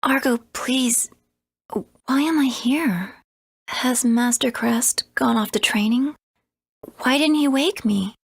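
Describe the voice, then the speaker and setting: A woman voicing a fragile, devoted young girl apprentice in a video game, breathy and hesitant. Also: on the high side, full character voice. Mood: confused. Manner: hesitant, halting.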